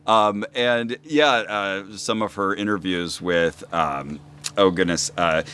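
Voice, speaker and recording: Low-pitched man chatting casually. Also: takes it slowly, pushed and bright, clear, resonant tone. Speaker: podcaster being interviewed as a guest. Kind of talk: casual chat, telling anecdotes.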